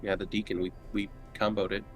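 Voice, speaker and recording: A man's voice: lowish voice, flat delivery, brisk, faint music behind. A man playing a tabletop game, chatting casually in laid-back, offhand remarks. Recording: clearly noisy tabletop session recording.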